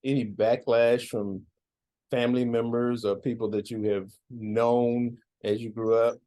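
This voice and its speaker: A man interviewing a guest, asking measured questions and often affirming with a quiet "right.". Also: medium pitch, level tone.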